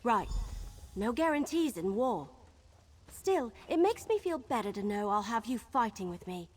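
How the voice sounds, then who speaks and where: A woman's voice: on the high side, big pitch swings, measured pace, darkish tone. A woman playing a nervous, chatty young elf archer in a fantasy video game, rambling candidly and earnestly. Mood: anxious, hopeful.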